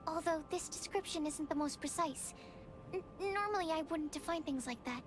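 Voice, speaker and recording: A woman's voice: extremely high pitch, moderate pace, background noise. A woman playing a shy, earnest young female alchemist in a video game, speaking politely and hesitantly with careful, precise wording. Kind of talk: English video game voice acting. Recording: music clearly behind the voice. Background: game music.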